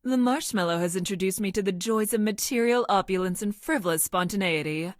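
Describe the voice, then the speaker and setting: A woman playing a logical young woman scientist from the future in a video game, speaking in formal, clinical, precise deadpan. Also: mid-range voice, a little fast, no echo, clean studio sound, lightly acted. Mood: deadpan affection. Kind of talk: video game character voice lines.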